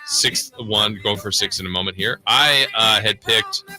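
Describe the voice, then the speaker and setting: A man co-hosting a sports radio show, reading off stats in a matter-of-fact, quick way. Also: fairly low pitch, bright, forceful tone, soft background music, poor audio.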